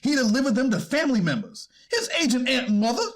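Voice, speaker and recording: A man's voice: very high voice, sing-song, heavily acted. A man playing a defense attorney pleading formally and deferentially for his client in a courtroom transcript reading. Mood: deferential, earnest. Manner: deferential, formal, halting argument.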